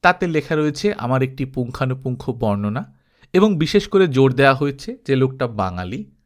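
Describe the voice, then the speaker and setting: A man reading a literary travelogue aloud as an expressive, dramatized audiobook storyteller. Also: mid-range voice, normal speed. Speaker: audiobook narrator.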